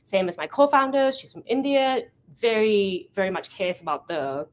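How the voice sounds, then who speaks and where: A young woman from Thailand, giving a lively storytelling talk at a product meetup and often correcting herself mid-sentence. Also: mid-range voice, normal speed. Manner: animated storytelling, acting out quoted conversations. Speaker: event founder giving a talk. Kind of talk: meetup presentation.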